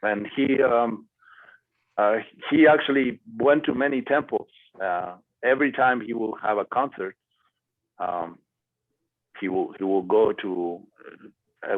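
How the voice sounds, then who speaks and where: A man speaking as a guest to a class and answering student questions, with many pauses and fillers. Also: lowish voice, dry recording. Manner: hesitant, many fillers and restarts. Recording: dry tinny phone-like audio.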